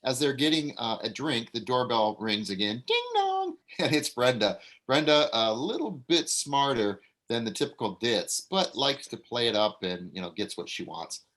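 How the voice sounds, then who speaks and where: A man's voice: medium pitch, moderate pace, hardly any pauses. A man reading the stage directions and giving notes to the actors at a casual table read. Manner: relaxed, casual, with fillers and self-corrections.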